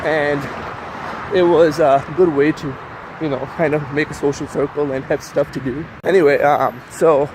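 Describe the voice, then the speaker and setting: A man's voice: fairly high pitch, fairly even intonation, normal speed. A young man whose first language is Urdu, talking casually in a rambling way with lots of fillers while walking and filming himself on a city street. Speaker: vlogger. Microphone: handheld camera while walking. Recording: street traffic noise behind.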